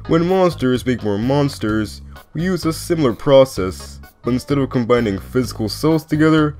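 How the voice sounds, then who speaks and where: A man plays a skeleton big brother in a comic dub, casual and unbothered, with a mid-pitched voice. He explains patiently and teases dryly, with faint music behind him. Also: a little mellow, lightly acted. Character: laid-back, teasing, knowing skeleton older brother.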